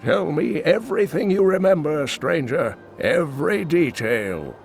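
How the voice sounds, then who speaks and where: A man's voice: fairly high pitch, expressive, measured pace, creaky, soft background music. A man voicing a wise old scholar and mentor, earnest and inquisitive, in a video game.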